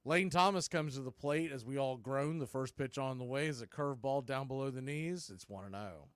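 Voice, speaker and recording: A man calling a baseball game pitch by pitch as a fan radio host, mixing play calls with opinionated chat. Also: a little fast, clear, resonant tone, no echo. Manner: conversational, opinionated fan commentary.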